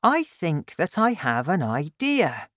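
An excitable young boy with a high, lively voice, full of eager questions, as a man reads him aloud. The delivery is unhurried and the sound is dry and close. It comes across as a storybook character voice. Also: no room sound, full character voice. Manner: raised pitch, excited questions. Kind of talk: audiobook character voice.

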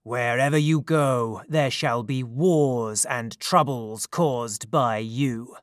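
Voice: mid-range voice, takes it slowly, dry recording, studio quality, pitched below the performer's own voice, close to an everyday voice. A narrator voicing a furious, commanding king of the gods in an audiobook myth. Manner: commanding, angry shouting. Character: furious thunder-voiced king of the gods, male.

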